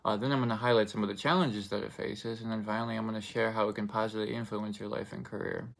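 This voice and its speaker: Young male speaker, first language Russian, low gravelly voice, rambling student-style presentation. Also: flat delivery, quick pace. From Russian-speaking country. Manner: loose, filler-heavy delivery with many restarts. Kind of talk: informative speech.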